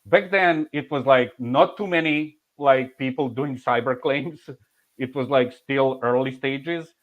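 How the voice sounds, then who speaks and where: A man in his forties with a Serbian accent tells his story in a loose, halting way, restarting often and laughing softly. His pitch sits in the middle range. The audio is a bit muffled and lo-fi. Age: 40s. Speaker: insurance claims manager. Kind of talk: casual interview answers.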